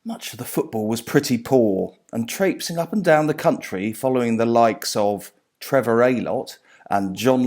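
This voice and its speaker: Creaky-voiced man reading aloud. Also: medium pitch, a little mellow. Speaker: author and sports journalist reading own book. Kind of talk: scripted book reading.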